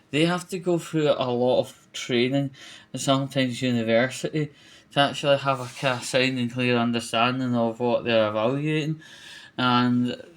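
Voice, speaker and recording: A man giving a casual, opinionated monologue as a vlogger, rambling and colloquial, with some mild swearing. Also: medium pitch.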